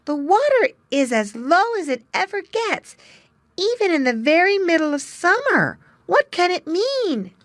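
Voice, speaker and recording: A woman voicing a playful young male otter who shouts eagerly in a high voice. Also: very animated, a little slow, dry recording, heavily acted. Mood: alarmed.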